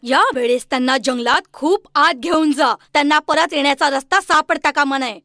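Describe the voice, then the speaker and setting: A woman's voice: sits high, normal speed, pushed and bright, dry recording, clean studio sound. A woman voicing a cold, insistent, scheming stepmother in a children's fairy tale.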